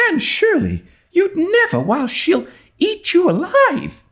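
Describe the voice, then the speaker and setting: A man voicing an excitable, loyal young girl with a dramatic, gossipy manner in an audiobook. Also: very high-pitched, unhurried, voice pushed up, full character voice. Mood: alarmed. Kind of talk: dramatised audiobook reading of a classic novel.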